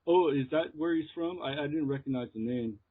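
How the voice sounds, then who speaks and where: A man from Texas with an American accent, chatting casually and haltingly as he is interviewed as a musician. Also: a little high. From Texas, United States. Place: remote call. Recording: muffled, tinny phone line.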